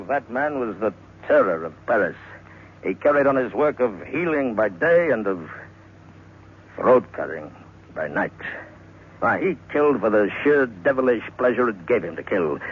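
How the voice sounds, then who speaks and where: A man's voice: medium pitch, slightly rough. Man acting a ghoulishly chatty waxworks manager, tinny old radio. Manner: chatty, hesitant, relishing the gruesome. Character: garrulous waxworks manager, ghoulishly proud of his murderers. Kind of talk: 1940s radio horror acting. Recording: thin old radio recording, music underneath.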